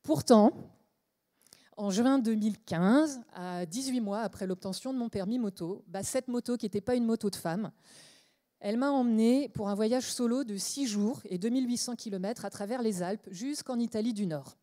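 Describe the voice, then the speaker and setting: A woman with a higher, mellow voice speaks to a conference crowd from the stage. Her talk is measured and inspirational, and it rises to emphatic questions.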